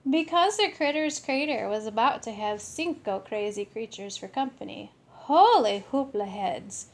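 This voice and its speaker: A woman reading a children's story aloud in an expressive, animated way with deliberate phrasing. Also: fairly high pitch, creaky. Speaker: storybook reader.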